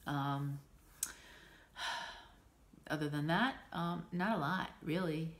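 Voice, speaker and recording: Lady with a low voice and stuffy nose chatting to the camera at home, lots of ums. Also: drawn-out words. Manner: chatty, rambling, frequent ums and laughs. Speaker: stitching vlogger. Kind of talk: casual chat to camera. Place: small room at home, indoors. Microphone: camera in front at desk distance.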